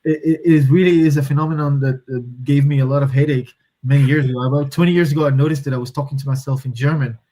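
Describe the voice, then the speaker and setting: A man's voice: on the high side, fairly even intonation, fast, hardly any pauses, warm tone. A man from Morocco, a native speaker of Moroccan Arabic and French, talking as an interview guest, thoughtful, often restarting and searching for words. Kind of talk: conversational interview.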